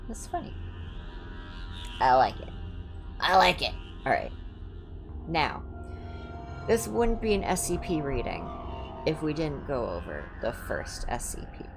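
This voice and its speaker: A woman's voice: low-pitched, big pitch swings, unhurried, creaky, pauses often, over music. A woman livestreamer reading horror entries aloud in an ASMR style, with casual asides.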